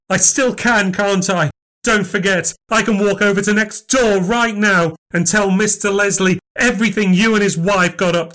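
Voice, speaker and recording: A man voicing a bitter, scheming old grandmother who is shrill, indignant and cutting. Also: gravelly, fairly bright tone, exaggerated voice. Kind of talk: audiobook character voice.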